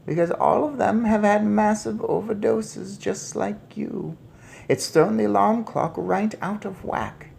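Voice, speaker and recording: A man voicing a brisk, prim elderly grandmother in a storybook reading. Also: sits high, creaky, darkish tone, pitched above the performer's own voice, exaggerated voice. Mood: knowing.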